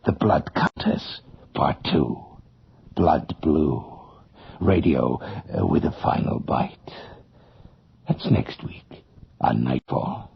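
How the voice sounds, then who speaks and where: An actor playing a sardonic, sinister radio horror host, with a husky, gravelly voice and a theatrical, menacing manner. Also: male voice, very deep voice, very animated, full character voice. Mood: sinister relish. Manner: slow, theatrical, savouring menace. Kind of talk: 1980s radio horror hosting. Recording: dull radio recording.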